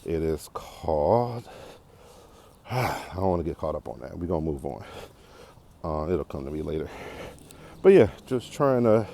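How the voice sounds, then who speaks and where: Casual American male podcast host, very low mellow voice, thinks aloud and digresses, clear outdoor background noise. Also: expressive, unhurried, long pauses. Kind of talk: casual unscripted monologue while walking. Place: outdoors, walking on a path.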